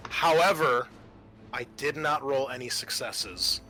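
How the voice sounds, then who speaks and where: A man narrating a tabletop horror game as game master, improvising in a halting, casual way with some swearing. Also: mid-range voice, sharp tone, soft background music.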